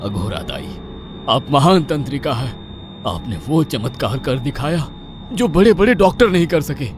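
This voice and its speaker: A man's voice: on the high side, expressive, normal speed, soft background music, pitched above the performer's own voice, natural voice. A man voicing a wealthy, greedy, gullible husband in a horror story, dramatic and credulous. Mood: admiring. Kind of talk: Hindi horror story character acting.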